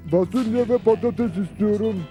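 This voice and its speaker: An actor playing a simple, gluttonous cowboy outlaw with a naive, polite manner in a cartoon dub. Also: male voice, sits high, moderate pace, over music, natural voice. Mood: naive, hungry. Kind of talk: cartoon dubbing.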